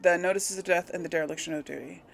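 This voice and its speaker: A woman's voice: on the low side, steady tone, rapid-fire, vocal fry, slight background noise. A woman hosting a let's play, talking informally to her viewers and thinking aloud as she goes. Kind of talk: casual gaming commentary to viewers.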